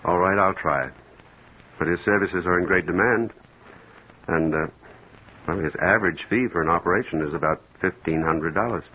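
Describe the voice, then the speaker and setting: An actor playing a measured, businesslike doctor in 1950s radio drama style, on a muffled vintage recording. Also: male voice, sits low, slight background noise, lightly acted. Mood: grave, businesslike. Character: measured, businesslike male doctor breaking hard news.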